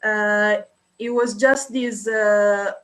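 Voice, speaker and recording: A young woman with an Italian accent, a podcast guest talking casually and hesitantly with many filled pauses. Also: medium pitch, fairly even intonation. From Italy. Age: young adult. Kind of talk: casual interview talk.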